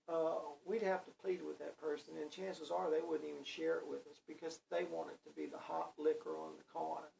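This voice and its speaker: A man's voice: a little high, gravelly, a little mellow. A man in his sixties giving a casual guitar lesson, talking in a rambling, folksy way with many fillers. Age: 60s. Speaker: guitar teacher. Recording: voice a bit far from the mic, faint background noise.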